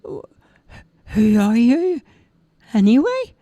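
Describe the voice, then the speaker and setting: A woman's voice: a little high, big pitch swings, very slow, warm tone, no room sound, voice pushed up, exaggerated voice. A woman voicing a young boy who stammers and hesitates when he speaks. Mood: wondering. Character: young boy, tearful and wondering.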